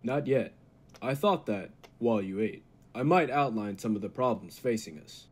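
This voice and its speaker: An actor as a husky, formal lab assistant. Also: male voice, mid-range voice, lively intonation, normal speed. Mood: calm, businesslike. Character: Barton, grave calculating male laboratory assistant to a scientist. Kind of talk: old time radio drama acting.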